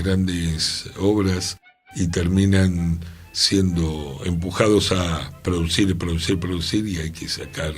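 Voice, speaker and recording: A man, co-hosting a radio talk show, speaking in a measured, reflective way. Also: low-pitched, slightly rough. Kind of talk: casual radio discussion. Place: radio studio.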